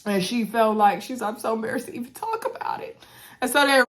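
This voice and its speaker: A woman's voice: a little high, brisk. Chatty congested woman recapping a show. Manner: chatty, animated, says "y'all" and "anywho". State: congested, coughing. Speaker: YouTube reality-show recapper. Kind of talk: casual chatty recap to viewers.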